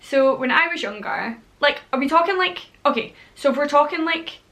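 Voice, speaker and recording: A chatty Scottish woman in her thirties talks straight to camera in a casual vlog. Her voice sits a little high and sounds bright and forceful. She is bubbly and drops playful whispered asides. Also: lively intonation, normal speed. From Scotland. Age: 30s. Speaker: vlogger.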